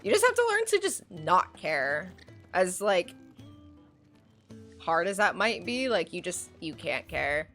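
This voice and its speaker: A woman's voice: fairly low pitch, big pitch swings, a little slow, long pauses, a bit bright, soft background music. A woman streamer with a nasal voice chatting casually while playing, rambling with lots of fillers. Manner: rambling, many fillers, cackling laugh. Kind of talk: casual chat while gaming.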